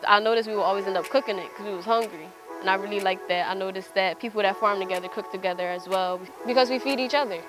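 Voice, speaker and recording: Farm worker, medium-pitched voice, casual interview talk, music clearly underneath. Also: quick pace, hardly any pauses, rough recording.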